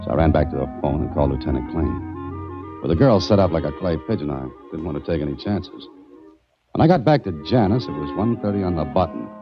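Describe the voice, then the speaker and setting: Tough male detective, very deep voice, dull old radio sound, 1940s radio drama. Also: animated, fairly quick, music in the background. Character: hard-boiled male detective, dry and determined. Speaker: private detective narrator.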